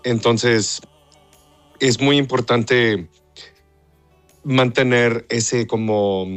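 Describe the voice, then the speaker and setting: A man from Texas, half Mexican, an opera singer answering interview questions in Spanish with pauses, repeated words and stray English. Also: medium pitch, steady tone, smooth, soft background music. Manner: hesitant, searching for Spanish words, mixes in English.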